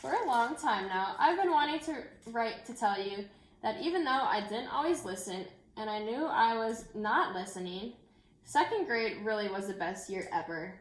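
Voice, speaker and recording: Woman, elementary teacher type, higher register, story read-aloud with informal asides to children, roomy echoing sound. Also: lively intonation, normal speed. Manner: addresses children directly and informally. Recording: echoey room.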